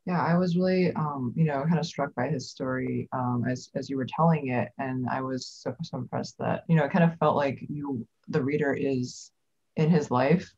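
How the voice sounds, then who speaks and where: A woman's voice: very deep voice, fairly even intonation, moderate pace, a little mellow, breathy edge. A woman moderating a conversational author interview, heard on dull, lo-fi audio.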